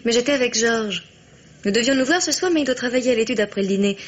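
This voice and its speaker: Devoted young fiancée, highish breathy voice, polite, spirited, earnest, vintage radio drama. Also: female voice, lively intonation, quick pace, highs cut off. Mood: playfully protesting. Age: young adult. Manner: polite, earnest, hesitant at times. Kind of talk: old French radio drama acting.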